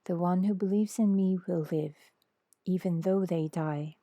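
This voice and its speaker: A woman reader voicing a calm, authoritative male teacher in a scripture story, solemn, gentle and assured. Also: fairly low pitch, measured pace, dry recording.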